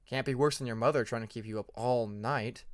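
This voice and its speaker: A man's voice: medium pitch, fairly even intonation, brisk, voice pushed down, close to an everyday voice. A man voicing a young male dragon who is wistful, polite and hesitant, in a storybook narration. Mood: muttering.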